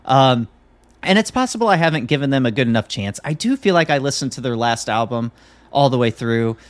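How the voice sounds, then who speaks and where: A man's voice: mid-range voice, lively intonation, a little fast. A male podcast host with a mid-range voice, chatting casually. He talks in an easygoing, conversational way, as if to a co-host and regular listeners.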